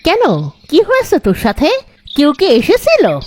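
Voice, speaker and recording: A woman's voice: sits high, wildly swooping pitch, a little slow, darkish tone, a little hiss or hum, heavily acted. A woman voicing a worried, protective mother in a horror cartoon. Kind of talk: cartoon horror drama dialogue.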